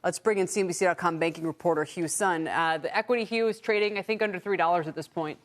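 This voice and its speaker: A woman's voice: on the low side, fast talker. A woman working as a TV news anchor, introducing stories and questioning a reporter on a live business broadcast.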